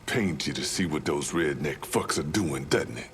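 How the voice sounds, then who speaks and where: A man's voice: rumbling low, animated, moderate pace, fairly bright tone. An actor voicing a streetwise, embittered Southern man in a video game, with folksy, salty talk. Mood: scheming. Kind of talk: video game cutscene acting.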